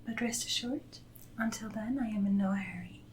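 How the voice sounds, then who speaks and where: A woman playing a devoted, deferential Regency lady's maid in a soft-spoken, often whispered ASMR roleplay, with formal, gentle speech. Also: medium pitch, normal speed, a little hiss or hum, natural voice. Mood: devoted, gently reassuring.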